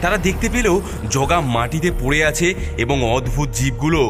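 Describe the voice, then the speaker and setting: Male horror-cartoon narrator over loud music. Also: on the high side, expressive, brisk. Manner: suspenseful storytelling delivery. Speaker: story narrator.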